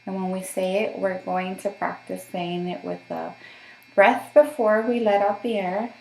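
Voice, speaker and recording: A woman's voice: medium pitch, fairly even intonation, unhurried, gravelly, reverberant, poor audio. A woman working as a voice therapist, giving patient step-by-step instructions for voice exercises and checking in often. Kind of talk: guided voice therapy session.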